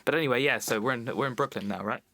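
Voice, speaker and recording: A man's voice: mid-range voice, racing, sharp tone, no echo. A man co-hosting a podcast from home over an internet call, retelling film scenes eagerly and apologising as he goes. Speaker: podcast co-host. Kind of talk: casual chat about a film. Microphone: home mic on a video call.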